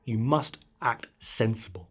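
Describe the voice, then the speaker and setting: A man with a husky voice narrating a horror story in a theatrical, conspiratorial way, speaking straight to the listener. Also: on the low side, animated, measured pace, fairly bright tone. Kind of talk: dramatic scary story narration.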